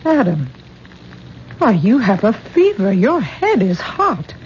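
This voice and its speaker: Earnest, gently scolding female caretaker, medium pitch, vintage radio drama with some background noise. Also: huge pitch swings, takes it slowly, highs cut off. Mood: alarmed. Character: caring, firm, worried woman tending a sick man. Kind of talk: 1970s radio drama acting.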